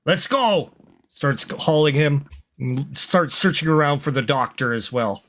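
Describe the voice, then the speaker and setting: A man's voice: on the high side, animated, measured pace, a bit bright. A man playing a tabletop game, narrating his character in third person and speaking some lines in role. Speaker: tabletop roleplay player.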